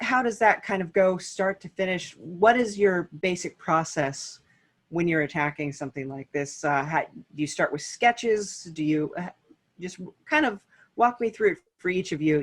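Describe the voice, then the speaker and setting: A woman's voice: on the low side, moderate pace, fairly bright tone. A woman hosting a podcast, putting loose, rambling questions to a panel of guests. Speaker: podcast host. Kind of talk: interviewing a panel.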